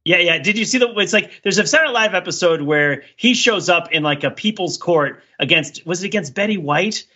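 A man with a high-pitched, bright and forceful voice talks as a podcast co-host. He is casual and playful, delivering his takes like running jokes. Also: animated, fairly quick, husky, highs cut off. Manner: playful, joking. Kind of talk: casual film discussion.

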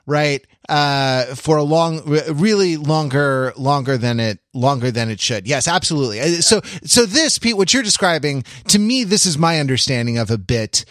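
Male podcast co-host, slightly high voice, analytical but casual, halting and self-correcting, recorded dry. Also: lively intonation, fairly bright tone, no room sound.

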